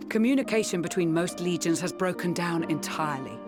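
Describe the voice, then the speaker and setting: An actor plays a young envoy in a video game, earnest and firm. The speech is formal, measured and articulate, pitched between low and high. Loud music plays behind it. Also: expressive, natural voice. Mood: grave, explaining. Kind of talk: dramatic video game voice acting.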